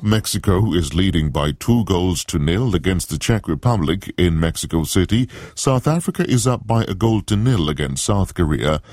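A man reading a scripted news bulletin in a measured, formal delivery. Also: sits low, darkish tone, dry recording, clean studio sound. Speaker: newsreader.

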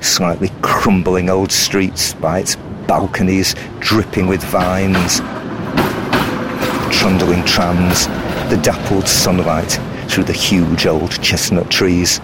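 A man reporting and narrating a documentary in a measured, evocative scripted delivery. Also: sits low, little pitch movement, background noise.

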